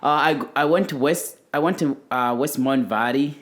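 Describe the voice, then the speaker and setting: A young man with a Rwandan accent, a guest on a podcast, speaking in an easygoing, halting way. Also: medium pitch, fairly bright tone. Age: young adult. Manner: halting, easygoing, non-native grammar. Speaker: podcast guest, college runner. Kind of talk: casual podcast chat.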